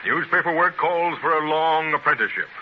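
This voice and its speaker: A man's voice: on the high side, fairly quick, lightly acted. An actor playing a genial, patronising veteran newspaperman, hearty and avuncular, in 1930s radio drama style. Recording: muffled, hissy 1930s radio transcription.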